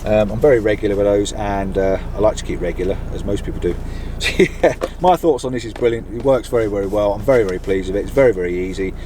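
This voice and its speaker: A man's voice: fairly low pitch, fast. A middle-aged British man giving a casual, enthusiastic product review to camera in a chatty, informal way. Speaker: vlogger reviewing gear. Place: inside a campervan. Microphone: camera on a mount in the van. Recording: background noise inside a vehicle.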